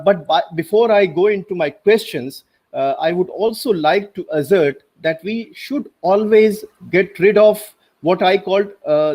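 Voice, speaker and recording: A middle-aged man with an Indian accent, a native Hindi speaker, giving a measured academic talk on a video call through a headset, with frequent hesitations. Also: high voice, a little mellow. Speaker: panel speaker. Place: home room.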